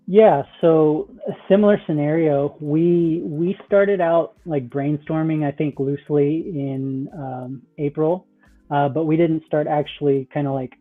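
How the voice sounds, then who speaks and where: A man with a fairly high voice comes through on a thin, phone-like connection. He answers interview questions casually, explaining things in loose, conversational phrases. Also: unhurried, soft background music. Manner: loose, explanatory, peppered with "like" and "you know". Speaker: startup founder, interview guest. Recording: thin, phone-like call audio.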